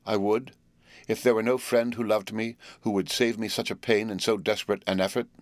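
A man voicing a brave, sorrowful young woman who speaks quietly, earnestly and with resolve. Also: lowish voice, little pitch movement, a little fast, no room sound, lightly acted.

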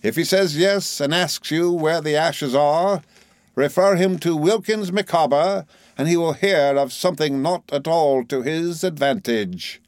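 Man performing a pompous, high-pitched declaimer. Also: a little slow, dry recording, lightly acted. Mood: triumphant challenge. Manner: grandiloquent, formal, relishing long phrases. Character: pompous, florid middle-aged man triumphantly reading out an accusation.

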